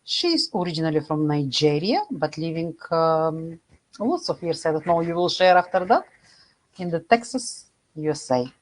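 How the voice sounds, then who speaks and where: A woman with a Bulgarian accent hosts over a video call, her voice sitting on the lower side. She asks questions in a friendly way, with slightly halting phrasing and the odd filler. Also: lively intonation, poor audio. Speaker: host. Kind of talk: casual video-call interview.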